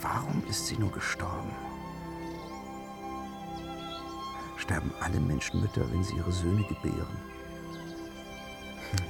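Young brash hero, headstrong, theatrical, fantasy audio play, slow phrasing, music clearly underneath. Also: male voice, deep voice, heavily acted. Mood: wistful. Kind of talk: fantasy radio play acting.

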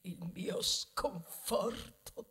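A woman playing a vain, melodramatic plastic bag in a theatrical monologue, grandiose and lamenting, with dramatic pauses and swings into whispers. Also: fairly bright tone. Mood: despairing. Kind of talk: theatrical monologue in a stage ballad. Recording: music under the voice. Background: music.

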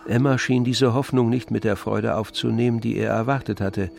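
A man's voice: mid-range voice, fairly even intonation, normal speed, creaky, a little mellow, soft background music. A man narrating a literary radio drama in a scripted storytelling style.

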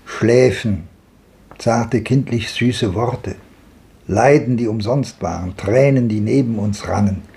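An actor playing a melancholy old man who recalls lost hours in a quiet, elegiac way, in a 1950s radio drama. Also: fairly low pitch, slow pace, gravelly. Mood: wistful.

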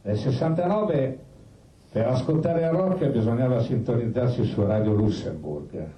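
A man telling stories in a live theatrical monologue, conversational and colloquial, with halting repetitions. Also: medium pitch, animated, dark tone. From Italy. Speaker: stage storyteller. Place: live performance space with musicians. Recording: roomy live stage pickup, distant, faint noise.

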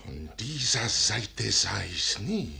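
Casting: proud general for classical radio drama, slow deliberate verse, gravelly and sharp-edged, brooding gravity. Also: male voice, on the low side, very animated, heavily acted. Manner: weighty, declamatory, deliberate. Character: proud, brooding army general torn over treason.

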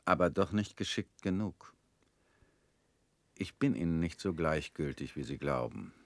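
An actor in a radio drama playing a weary middle-aged family man, guarded and resigned, talking in flat, curt lines that often sink quiet. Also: low voice, a little slow, no room sound. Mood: bitter.

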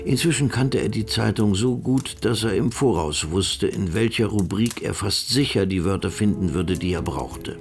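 Man narrating a radio drama, deep voice, faint music under it. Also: normal speed, few pauses.

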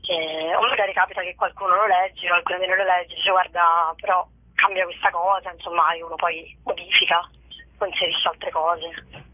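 A woman's voice: on the low side, flat delivery, moderate pace, no room sound. Woman being interviewed over the phone, rambly and hesitant, dry tinny line. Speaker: stage actress being interviewed.

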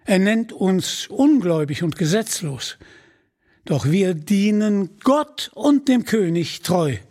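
A man's voice: sits high, animated, slow, darkish tone, full character voice. A man voicing a bold, confident young outlaw archer in an audiobook story. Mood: firm, loyal.

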